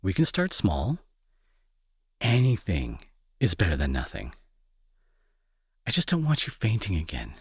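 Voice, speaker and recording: Husky low-voiced remorseful young bully, acted by a man. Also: wildly swooping pitch, measured pace, pauses often, no room sound, clean studio sound, full character voice. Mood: lightly teasing, caring. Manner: soft, hesitant, earnest, reassuring. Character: remorseful young male bully turned tender, anxious caretaker. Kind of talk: intimate roleplay audio drama.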